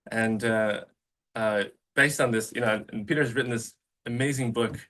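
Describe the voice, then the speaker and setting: A man's voice: medium pitch, fairly even intonation, normal speed, a bit bright, recorded from a distance. A man moderating a fireside chat, prompting his guest with fillers and restarts. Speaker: interviewer.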